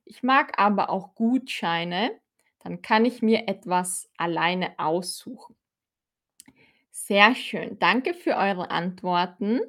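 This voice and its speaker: A woman from Austria, teaching a live-streamed language lesson in slow, clear teacher talk, repeating words for learners. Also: medium pitch, fairly bright tone. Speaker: German teacher.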